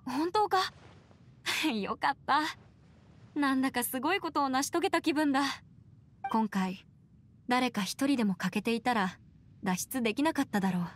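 A woman's voice: high voice, normal speed, long pauses, close to an everyday voice. A woman playing an earnest, caring young female advocate in an anime game, articulate, with formal phrasing. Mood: pleased, earnest.

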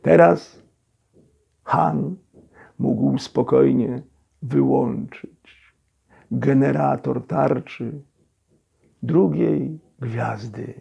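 A man narrates a storybook in a gravelly, husky voice. His delivery is slow and theatrical, with suspenseful pauses between phrases. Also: medium pitch, warm tone, highs cut off. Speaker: storyteller, actor reading a children's audio story. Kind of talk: dramatic scripted storybook narration.

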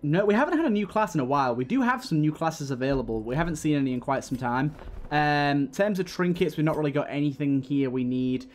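A man's voice: fairly high pitch, lively intonation, fast. A man hosting a let's play, giving running commentary on a game. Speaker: gaming video host. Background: game music.

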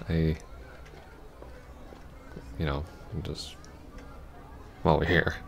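Very deep, gravelly, hesitant ex-boyfriend played by a man. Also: little pitch movement, slight background noise, heavily acted. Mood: awkward, nervous. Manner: hesitant, halting, stammering. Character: awkward, apologetic young ex-boyfriend, still hurting. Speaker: ASMR roleplay voice actor. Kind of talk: ASMR roleplay, soft intimate acting.

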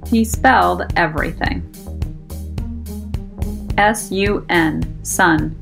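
A woman reading a children's storybook aloud with expressive, careful enunciation for young listeners. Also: lowish voice, very slow, vocal fry. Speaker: storybook reader. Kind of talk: scripted children's storybook narration. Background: music.

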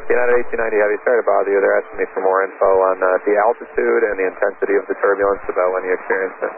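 Male air traffic controller, brisk and low-pitched, on a tinny radio channel. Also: steady tone, vocal fry. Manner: brisk, courteous, procedural. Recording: tinny narrowband radio, faint static.

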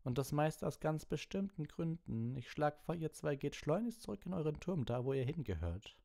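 A cold, sneering schoolmaster from a storybook, acted out by a man reading. He drawls with suspicion and menace, pitched above the reader's normal voice. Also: lively intonation, moderate pace, creaky, mellow. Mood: suspicious, sneering.

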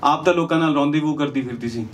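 A man's voice: fairly high pitch, quick pace. A man performs lyrical stand-up on stage, moving between rhyming verse and conversational asides. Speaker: stand-up comedian. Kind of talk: lyrical stand-up comedy, rhymed storytelling. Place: small indoor comedy venue on stage. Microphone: handheld microphone. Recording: distant, noisy live stage sound.

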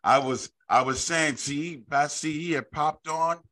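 A middle-aged man with an Oklahoma accent hosting a church Bible study on a video call, speaking haltingly with repeated words and frequent amens. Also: fairly high pitch, steady tone, a bit bright. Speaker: bishop hosting a weekly online Bible study. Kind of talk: casual livestream hosting. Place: indoor office, room with a framed picture. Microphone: studio microphone in front of him on a video call.

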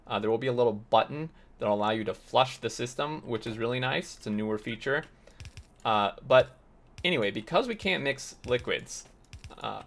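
A slightly hoarse man hosting a game tutorial, explaining step by step with lots of fillers and restarts. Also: medium pitch, fairly bright tone. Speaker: let's play host. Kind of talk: tutorial gameplay commentary.

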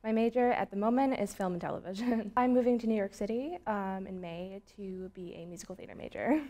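A young woman student muses through her answers in a studio interview, with frequent fillers. Her voice sits at a middle pitch. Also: a little fast, warm tone. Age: young adult. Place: studio with plain backdrop.

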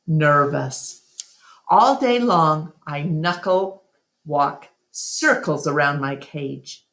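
Slow, low-voiced middle-aged woman reading aloud. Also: fairly bright tone. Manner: deliberate, careful read-aloud delivery. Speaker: read-along storyteller. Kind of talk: reading a children's novel aloud.

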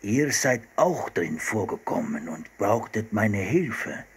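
Man playing a slow, calm Arctic hunter. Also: lowish voice, husky, full character voice.

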